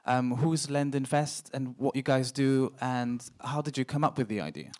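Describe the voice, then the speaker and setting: A man in his thirties with a medium-pitched voice interviews a guest on stage. His questions are informal and a little halting, spoken into a handheld mic. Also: darkish tone. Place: indoor event stage in front of a screen. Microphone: handheld microphone.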